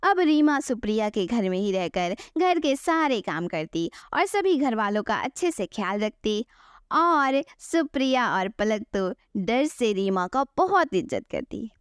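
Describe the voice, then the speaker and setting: A woman's voice: fairly high pitch, big pitch swings, measured pace, smooth, no room sound, studio quality. A woman narrating a scripted moral bedtime story as the storyteller.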